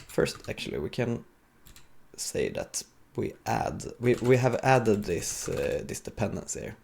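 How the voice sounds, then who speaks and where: A man giving an informal, explanatory live coding talk as a conference speaker, with a gravelly, husky voice. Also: medium pitch, darkish tone.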